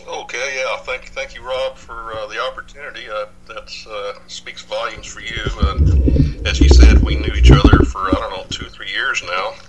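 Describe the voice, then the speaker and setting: A man's voice: lowish voice, fairly even intonation, moderate pace, highs cut off, very noisy. A man, telling his story as a podcast guest, rambling with lots of fillers and restarts. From Dallas, Texas. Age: 60s. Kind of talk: casual interview.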